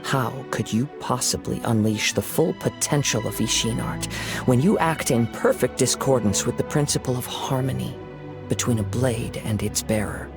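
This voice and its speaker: Man playing a calm young samurai, soothing and measured, slightly gravelly, mid-pitched. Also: over music, natural voice. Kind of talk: video game voice acting.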